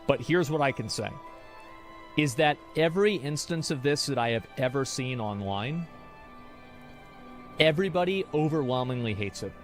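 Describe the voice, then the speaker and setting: Streamer type, young man, argumentative, rambling, mid-range voice, low music bed. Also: expressive, moderate pace, long pauses. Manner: rambling, argumentative, restarts often. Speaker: streamer reacting to chat. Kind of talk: casual opinion talk.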